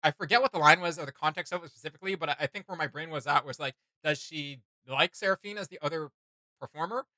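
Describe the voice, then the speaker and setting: A man's voice: a little high, fast talker, sharp tone, no room sound. A man co-hosting a reaction video, chatting casually in a rambling, enthusiastic way about what he likes. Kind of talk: casual opinion chat with co-host.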